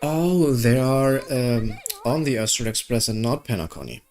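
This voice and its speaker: A woman's voice: very deep voice, lively intonation, moderate pace, darkish tone. A woman giving casual commentary as she plays through a story game. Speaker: gaming video creator. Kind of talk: casual game playthrough commentary, reading story dialogue aloud.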